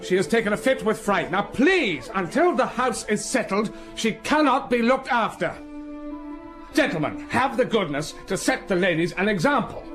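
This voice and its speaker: An actor playing a commanding, secretive master of the house, brusque and urgent, in a radio drama. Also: male voice, sits high, sing-song, moderate pace, over music.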